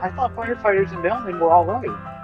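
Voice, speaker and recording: A man's voice: sits high, brisk, dull audio, loud music behind the voice. A man chatting casually on a stream, wry and sarcastic, swearing freely. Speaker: stream co-host.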